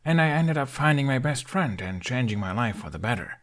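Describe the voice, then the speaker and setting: A man voicing an eager young boy, pitched up. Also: expressive, fairly quick, fairly bright tone, lightly acted. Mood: playful, assured. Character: eager, stubborn, daring teenage boy hero.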